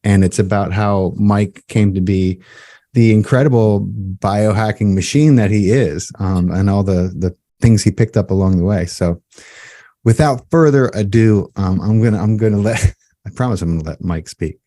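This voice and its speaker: A man's voice: lowish voice, moderate pace, mellow, no echo, studio quality. A man hosting a podcast in casual chat, laid-back and slangy as he introduces and questions his guest. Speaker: podcast host.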